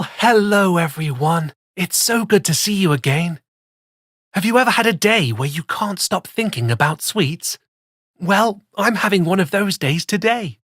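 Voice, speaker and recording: Husky playful children's story host. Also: mid-range voice, a bit bright, no room sound, clean studio sound. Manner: playful, addresses young listeners directly. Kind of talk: expressive presenting and storybook narration.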